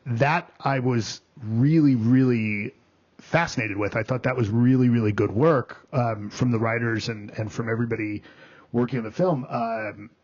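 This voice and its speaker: Male critic co-host, mid-pitched, halting delivery full of fillers, slightly muffled lo-fi sound. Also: fairly even intonation, darkish tone. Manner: halting, many ums and restarts. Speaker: film critic, podcast co-host. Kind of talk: casual podcast review chat. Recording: dull lo-fi podcast audio.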